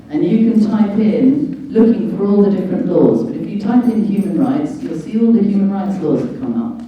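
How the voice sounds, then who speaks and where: Low-voiced British woman lecturing in echoey hall. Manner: emphatic, didactic, with rhetorical questions. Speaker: guest speaker, lawyer. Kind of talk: informal public lecture on law and rights. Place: large echoey indoor venue at a public meeting. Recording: dull, very echoey hall, distant mic.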